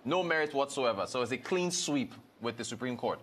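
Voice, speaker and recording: Halting male news anchor, mid-pitched. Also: expressive, a bit bright, slight background noise. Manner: halting, repeats words as he questions. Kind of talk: live news interviewing. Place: TV studio.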